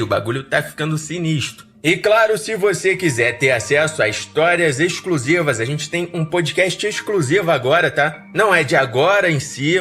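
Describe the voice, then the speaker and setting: A man's voice: on the high side, bright, forceful tone. A Brazilian man hosting a podcast, chatting to listeners in an informal, slangy, enthusiastic way. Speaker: horror podcast host and narrator. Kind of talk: casual outro chat to listeners. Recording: music behind the voice. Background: music.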